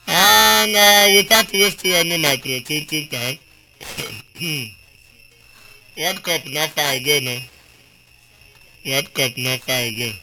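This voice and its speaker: A man's voice: medium pitch, poor audio. A man with a Nigerian Pidgin accent, hosting a casual call-in radio show, talking in a chatty way and tagging his points with a questioning "eh?". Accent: Nigerian Pidgin English. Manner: chatty, rhetorical "eh?" after each point.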